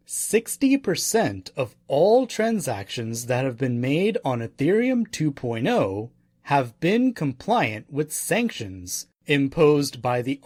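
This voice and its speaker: Unhurried male narrator, lively intonation. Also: medium pitch, no room sound, studio quality. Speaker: audiobook narrator. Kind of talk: scripted nonfiction audiobook narration.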